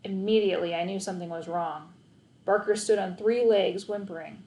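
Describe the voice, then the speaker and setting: A woman reads a children's story aloud with expressive, dramatic phrasing. Her voice sits at a middle pitch. The room adds a clear echo around her words. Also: normal speed. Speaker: read-aloud narrator. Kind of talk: expressive children's audiobook narration, first person.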